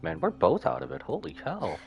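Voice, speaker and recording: A man's voice: fairly high pitch, sing-song, fairly quick, gravelly, dull audio, over music. A man doing casual live game commentary as a streamer.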